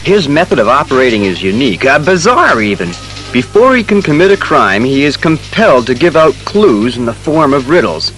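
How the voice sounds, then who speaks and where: A man playing an authoritative, easily exasperated police commissioner, brisk and official, in a superhero audio drama. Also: medium pitch, very animated, fairly bright tone, soft background music, low-quality recording. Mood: thoughtful. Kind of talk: superhero radio drama acting.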